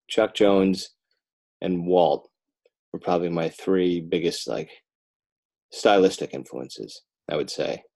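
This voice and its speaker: American guy with a deep low voice, chatting on a podcast, rambly and kinda self-deprecating. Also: a little mellow. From northern New Jersey, United States. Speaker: podcast guest, children's book author and illustrator. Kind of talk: casual interview chat.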